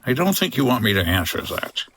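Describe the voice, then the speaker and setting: An actor playing a wry 1950s hotel owner and boat skipper in a noir comedy audio drama, dry and terse. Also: male voice, mid-range voice, sing-song, brisk, fairly bright tone, husky. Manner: dry, hard-boiled, terse.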